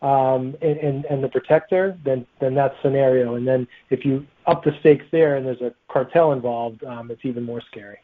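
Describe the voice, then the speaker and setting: A man's voice: medium pitch, fairly even intonation, normal speed, hardly any pauses. A man speaking as a guest author, answering interview questions in a casual, conversational way. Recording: tinny, phone-like line.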